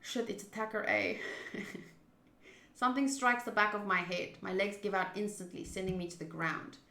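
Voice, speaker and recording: A woman streaming a visual novel, switching between measured reading aloud and loud, casual reactions. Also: medium pitch, roomy sound, slight background noise. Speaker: game streamer.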